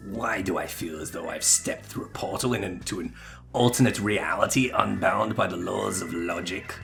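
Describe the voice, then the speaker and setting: Man acting an exasperated male game character, skeptical, deadpan, grumbling, low-pitched. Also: normal speed, gravelly, over music. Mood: bewildered. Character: dry, skeptical straight man, exasperated by nonsense.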